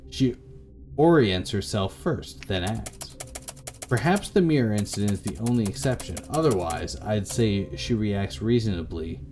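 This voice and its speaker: A man voicing a worried grown daughter, anxious and hesitant, caring for her failing mother in a visual novel. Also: lowish voice.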